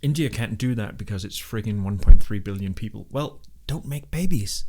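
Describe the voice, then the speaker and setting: A man's voice: lowish voice, lively intonation, normal speed, noisy background. A man hosting a live programming stream, chatting casually and reading viewers' messages, with many fillers and restarts. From Denmark.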